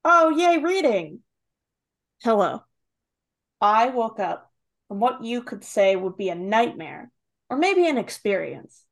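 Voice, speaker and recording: A woman's voice: on the high side, very animated, slow, gravelly, long pauses. A woman co-hosting a podcast, chatting playfully and reading a listener's email aloud. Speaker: podcast co-host. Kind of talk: casual group chat, reading a listener email.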